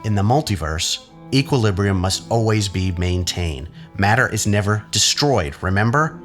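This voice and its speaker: Low, swooping, sardonic man playing an arrogant schemer. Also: expressive, normal speed, a bit bright, soft background music. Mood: taunting, intense. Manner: sardonic, theatrical, probing with rhetorical questions. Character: arrogant, menacing scientist, cynical and taunting man. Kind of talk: audio drama acting.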